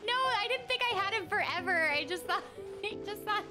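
High, animated young woman streamer. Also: brisk. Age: young adult. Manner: playful, giggly, exclamatory. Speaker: game streamer. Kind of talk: casual livestream chat while gaming. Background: game music.

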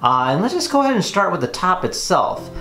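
A man's voice: sits high, sing-song, brisk, pushed and bright. A man in his twenties hosting a toy unboxing and demonstration in an enthusiastic, casual way. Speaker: toy review host. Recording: roomy echo, music behind. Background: clear background music.

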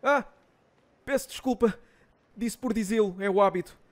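A man's voice: high voice, normal speed, close to an everyday voice. A man with a European Portuguese accent voicing a timid, earnest, easily flustered young man in an anime fan dub.

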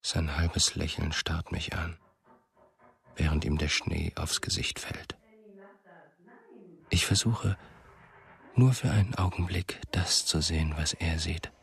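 An actor playing a brooding, haunted writer in a radio drama, gravelly and halting, speaking an introspective monologue. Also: male voice, rumbling low, fairly even intonation, a bit bright, full character voice. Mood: haunted, somber. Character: brooding male writer haunted by memories and longing.

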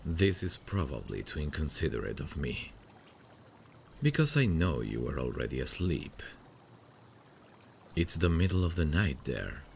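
A man playing a sweet, devoted long-distance boyfriend in an intimate ASMR roleplay, speaking tenderly and soothingly with hesitant pauses. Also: very deep voice, very animated, creaky, a little mellow, slight background noise, full character voice. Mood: shy, longing. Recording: faint running-water nature sounds behind. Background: stream nature sounds.

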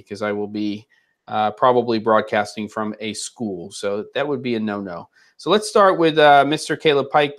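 A man in his forties hosting a relaxed, joking chat over a video call from a room at home. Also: medium pitch. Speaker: host. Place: home room with curtains and lamp.

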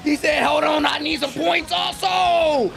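A young American man giving excited, slangy reaction commentary at home on a close microphone, with an exclamatory manner. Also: very high voice, unhurried, creaky, raised voice, faint music behind. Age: young adult. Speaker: reaction video host. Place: small room at home. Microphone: close desk microphone with headphones.

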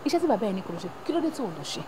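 A woman plays a worn-out mother who keeps the peace between her son and his father. Her high voice leaps up and down as she scolds, then coaxes him. Her words hop between languages. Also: very animated, racing, dark tone, slight background noise. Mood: exasperated. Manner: code-switching, scolding and coaxing. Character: worried middle-aged mother mediating at home. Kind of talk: emotional family drama acting.

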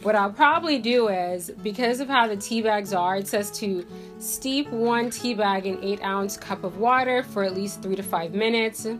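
A woman in her thirties from Jamaica, congested from a cold, chatting casually to camera in her bedroom with lots of fillers. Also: mid-range voice. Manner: chatty, rambling, many "like" and "um" fillers. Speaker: beauty vlogger. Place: bedroom at home, indoors.

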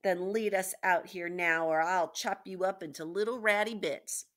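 Woman doing a loud, naive servant girl. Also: mid-range voice, big pitch swings, measured pace, voice pushed up, exaggerated voice. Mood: defiant. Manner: loud, rustic, ungrammatical speech. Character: simple, neglected servant girl, naive, wistful, stubborn. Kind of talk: storybook read-aloud character voice.

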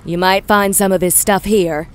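A woman's voice: mid-range voice, steady tone, moderate pace, a little hiss or hum, natural voice. A woman voicing a dismissive, matter-of-fact male military officer in a space audio drama. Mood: offhand.

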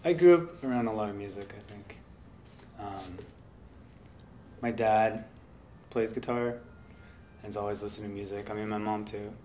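Soft-spoken man with a lowish voice. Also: normal speed, pauses often, a little hiss or hum. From United States. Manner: soft-spoken, rambling with many fillers. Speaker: interviewee, guitarist. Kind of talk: relaxed interview. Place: indoor workshop studio.

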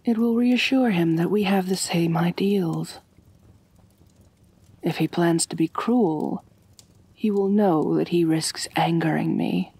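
A woman playing an ancient, wealthy vampire mistress in an intimate ASMR roleplay, genteel and measured, doting yet gently dominant, with a soft breathy voice. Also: on the low side.

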